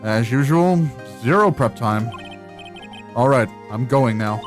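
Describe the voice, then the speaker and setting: A woman voicing a steady, protective young male defense attorney in a video game, measured and a little wry. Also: very deep voice, soft background music, voice pushed down, exaggerated voice.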